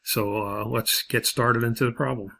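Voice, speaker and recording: A man instructing in a video lesson, explaining a worked problem step by step in a halting way. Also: mid-range voice, steady tone, gravelly, bright, forceful tone. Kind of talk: video lesson walking through example problems.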